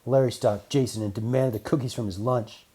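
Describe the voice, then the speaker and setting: A children's audiobook narrator reading a story with deliberate, storybook phrasing. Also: medium pitch, steady tone, warm tone, husky.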